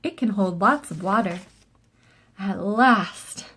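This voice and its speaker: A woman reading a picture book aloud to young children as an expressive storyteller. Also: mid-range voice, slow pace, breathy edge.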